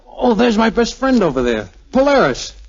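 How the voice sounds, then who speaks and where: An actor with an American accent playing a wry, guarded, lovestruck major in a 1940s radio drama, in a halting, casual, slangy way. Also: male voice, very high-pitched, big pitch swings, full character voice. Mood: tender, hesitant. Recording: muffled old 1940s radio recording with music.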